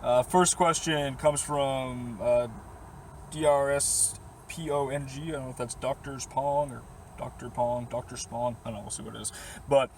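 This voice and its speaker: A young man with a slightly hoarse, worn voice talks casually and rambles easily, with plenty of fillers and short laughs. His pitch sits in an ordinary middle range. Faint outdoor noise hums behind him in his parked camper van. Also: steady tone, normal speed, darkish tone. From Boston area. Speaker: vlogger answering viewer questions. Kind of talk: casual chat to camera.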